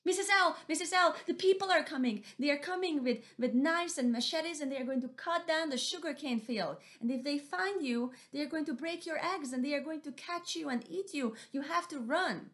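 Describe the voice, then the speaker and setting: A quick, clever little mouse deer from a folk storybook, as a woman storyteller puts him on, his voice pitched well above a normal talking register. he is earnest and eager, even when he is rushing to warn a friend. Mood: urgent.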